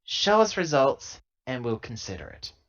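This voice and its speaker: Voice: medium pitch, big pitch swings, moderate pace, fairly bright tone. A player voicing a stressed, hard-drinking female corporate agent in a tabletop game, dry and curt, on a lo-fi recording. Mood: weary, resigned. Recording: dull lo-fi laptop recording.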